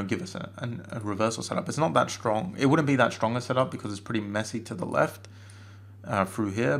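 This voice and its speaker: A man's voice: on the low side, gravelly, a bit bright. A man with a cold and a strained voice giving a casual chart-analysis webinar, hesitating often with fillers and restarts. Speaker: forex trading analyst.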